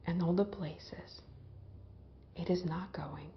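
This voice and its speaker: A woman leading a guided visualization in a gentle, hypnotic way, with long pauses and soft phrase endings that trail off toward a whisper. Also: lowish voice, fairly even intonation, muffled recording, a little hiss or hum. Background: background music.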